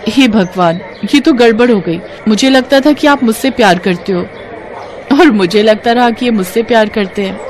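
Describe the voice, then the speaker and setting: A woman voicing excitable young twin sisters in an expressive, chatty way for a dramatized moral story. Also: a little high, moderate pace, a faint crowd, close to an everyday voice. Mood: earnest, dismayed.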